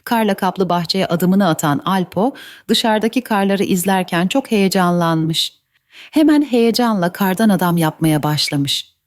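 A woman's voice: lowish voice, measured pace, no room sound, clean studio sound. A woman narrating a scripted children's story as the storyteller. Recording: faint background noise.

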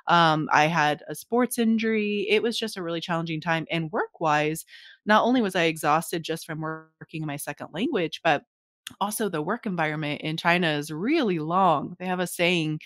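A woman in her thirties speaking on a video call through a headset mic, earnest and reflective, with a light laugh here and there. Her voice sits a little low, and the sound is close and dry. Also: normal speed, fairly bright tone, no echo. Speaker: guest author. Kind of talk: casual interview chat. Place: home room, indoors. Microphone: headset with desk microphone, video call.

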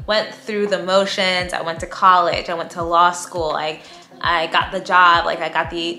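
Woman in her thirties, bubbly and chatty, bright tone, echoey room with faint music. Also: lowish voice. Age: 30s. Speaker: lifestyle vlogger. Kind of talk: casual talk to camera. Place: bright home room, indoors.

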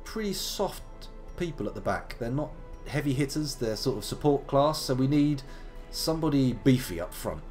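Gravelly-voiced streamer with a cold. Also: male voice, mid-range voice, sing-song, normal speed, hardly any pauses, a little mellow, soft background music. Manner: chatty, playful, wandering asides. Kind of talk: casual live game commentary.

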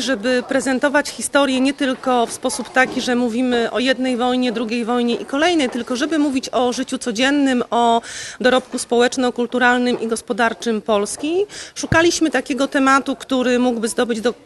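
A woman in her thirties, a culture centre director, giving an interview answer. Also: a little high. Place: indoor hallway. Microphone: handheld reporter microphone.

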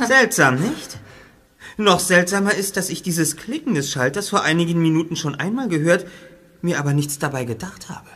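A clever young detective, calm and analytical, half-whispering sometimes. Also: male voice, fairly high pitch, normal speed. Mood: puzzled. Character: sharp-minded young male detective leader. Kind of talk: radio play acting.

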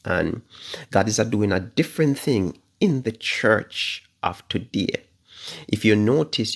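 Jamaican pastor, 50s, slow and fervent, husky low voice, livestream sermon from home. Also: male voice. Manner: fervent, repeats phrases, drawn-out stressed words. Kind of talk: livestream Bible study preaching. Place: living room at home, indoors. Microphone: phone camera at arm's length.

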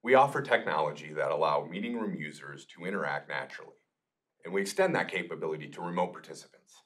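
A man's voice: very deep voice, animated, fast talker, a bit bright. A middle-aged man giving a scripted product presentation to camera in a small meeting room. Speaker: product manager presenting a demonstration.

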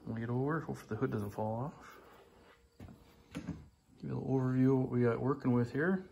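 A man with an average, everyday pitch talks casually and rambles as he walks around a machine in his garage. He keeps saying "like I say". It is a handheld phone recording with faint background noise. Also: brisk, creaky, dark tone, muffled recording. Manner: plain-spoken, rambling, repeats "like I say". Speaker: hobbyist restoring an old snowmobile. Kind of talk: casual walkaround commentary. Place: garage workshop. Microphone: handheld phone camera.

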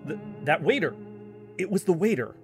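A man playing an agitated amateur investigator, halting and insistent, in a conversational audio drama. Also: on the high side, sing-song, creaky, music in the background.

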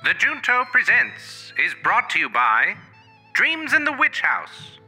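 A grand, formal announcer from an old radio show. His voice is piercingly bright and high, swinging theatrically over a music bed. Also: full character voice, low-quality recording.

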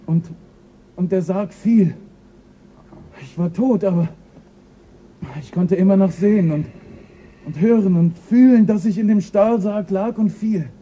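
A man's voice: sits high, unhurried, long pauses, mellow, highs cut off. A stammering, frightened crewman. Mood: shaken. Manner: stammering, anxious. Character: frightened young male crewman, nervous. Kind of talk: radio play acting.

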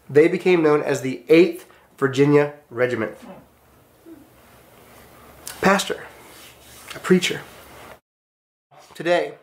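An American man teaching a class, giving a storytelling lecture in an earnest, emphatic manner with short declarative sentences. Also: fairly high pitch.

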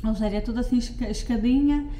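A chatty middle-aged woman with a European Portuguese accent talks her viewers through her cooking at home, picking her words up again whenever she slips. Her voice sits a little high but sounds soft and mellow. The camera mic catches it from a short way off in a roomy, echoing kitchen. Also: quick pace, slight background noise. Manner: chatty, talks to viewers while working, often corrects herself. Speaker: lifestyle vlogger. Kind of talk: casual cooking vlog chat.

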